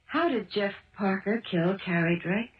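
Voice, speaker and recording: A woman's voice: medium pitch, animated, unhurried, close to an everyday voice. A woman acting in a 1970s radio drama as a determined, concerned wife, asking questions in a direct, earnest way. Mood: careful. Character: determined, concerned married woman questioning her old flame. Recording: roomy, dull old radio broadcast.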